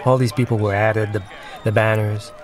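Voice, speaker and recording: A man giving unscripted commentary on his own film, talking in a conversational, reflective and slightly halting way. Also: fairly low pitch, level tone, crowd noise. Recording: film soundtrack music playing behind. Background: film soundtrack music.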